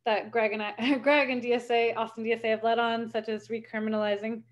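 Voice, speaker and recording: A woman's voice: a little high, little pitch movement, a little fast. A young woman giving a rambling, filler-heavy report on a video call from home. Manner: rambling, many fillers and restarts. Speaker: campaign volunteer reporting back. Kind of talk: casual meeting report. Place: home room. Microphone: laptop webcam video call.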